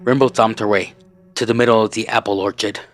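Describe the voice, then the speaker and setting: Casting: man with a fairly low voice to narrate fan fiction over a music bed. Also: fairly even intonation, fast talker. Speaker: story narrator.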